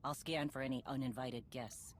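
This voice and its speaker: An actress playing a blunt, commanding female bounty hunter in a video game, impatient and vengeful, with a husky voice. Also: a bass voice, fairly even intonation, moderate pace, slight background noise. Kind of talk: video game cutscene acting.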